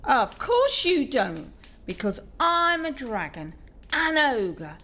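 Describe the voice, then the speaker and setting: Woman acting a grumpy, high-voiced housekeeper. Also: big pitch swings, slow pace, pushed and bright, exaggerated voice. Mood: grumpy, scornful. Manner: blunt, snappish, scolding. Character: gruff, grumpy female housekeeper, sharp-tongued dragon. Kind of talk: audio drama acting.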